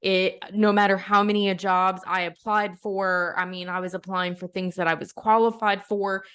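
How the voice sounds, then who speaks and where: A middle-aged woman talking as a podcast guest. She has a mid-range voice and speaks frankly and earnestly, in a relaxed conversational way. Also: mid-range voice, moderate pace, smooth. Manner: earnest, frank, laughs between points. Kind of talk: casual conversation.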